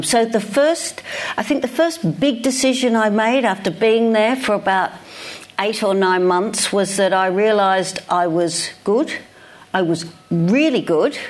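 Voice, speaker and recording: An older Australian woman, a guest speaker, talking casually and self-deprecatingly about her career in front of an audience, with frequent restarts. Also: mid-range voice. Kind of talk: reflective conversation on stage. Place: lecture hall with live audience.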